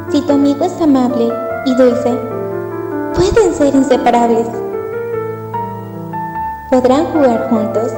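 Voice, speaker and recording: A woman as a high, echoey, sweet eerie companion. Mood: soothing, eerie. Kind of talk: scripted analog horror narration. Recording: echoey hall reverb, music behind.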